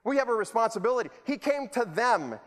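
High-voiced man preaching in a hall. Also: animated, fairly quick, fairly bright tone. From El Salvador. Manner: rhetorical, repetitive, self-deprecating humor. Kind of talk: sermon. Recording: long hall reverb.

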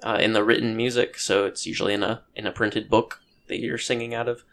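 A man with a low, gravelly voice co-hosts a casual podcast. He talks thoughtfully and hesitantly, stopping and restarting his sentences. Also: flat delivery, quick pace, fairly bright tone. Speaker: podcast co-host. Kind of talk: casual chat.

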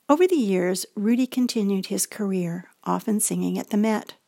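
Older American woman, reflective memoir narrator, a little gravelly, dry close mic. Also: mid-range voice, normal speed, no room sound. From United States. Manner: gentle, reflective storytelling. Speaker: feature presenter. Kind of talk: scripted personal narration.

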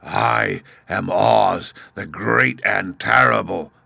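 Guy doing a huge roaring beast, slow gruff booming, kinda hollow. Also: very animated, sharp tone, no room sound, full character voice. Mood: menacing. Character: huge roaring five-eyed male beast.

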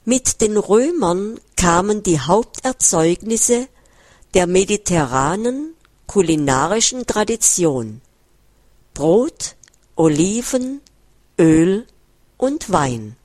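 A woman reading a first-person book text aloud in a measured, careful way, close to a studio mic. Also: mid-range voice, very animated, darkish tone, no echo. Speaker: audiobook reader. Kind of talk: scripted first-person narration read aloud.

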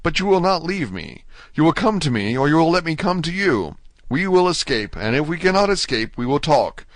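A man's voice: medium pitch, lively intonation, moderate pace, a bit bright, no room sound, natural voice. A man voicing a desperate, ardent young male prisoner in a storybook, pleading fervently. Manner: impassioned, fervent, exclamatory.

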